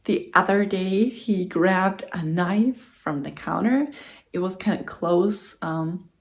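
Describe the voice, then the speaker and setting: A woman's voice: fairly low pitch, takes it slowly. A young German woman, a native German speaker, chatting in English in a rambling vlog from home, recorded on a phone and laughing often between phrases. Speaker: vlogger. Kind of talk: casual vlog chat. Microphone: phone camera.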